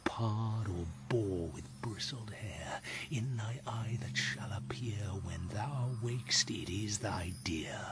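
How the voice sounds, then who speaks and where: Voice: medium pitch, takes it slowly, few pauses, loud music behind the voice, lightly acted. An actor playing a fairy king in radio drama, casting a menacing spell in an incantatory whisper. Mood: menacing incantation. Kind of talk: radio drama verse acting.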